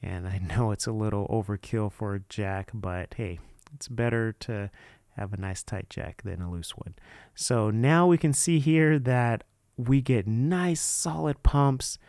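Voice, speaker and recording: A man with a fairly low, clear voice presents a how-to tutorial. He talks informally and without a script, explaining each step as he goes. The sound is dry and close, with very little room to it. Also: a little slow, darkish tone, no room sound. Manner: informal, unscripted, hands-on explaining. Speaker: presenter of a DIY how-to video. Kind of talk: casual step-by-step tutorial narration.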